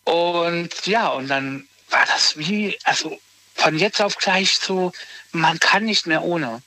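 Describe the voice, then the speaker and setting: A man in his thirties chatting casually as a guest, hesitant and halting, often restarting his sentences. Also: fairly high pitch, sharp tone, low-quality recording. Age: 30s. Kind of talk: casual conversation.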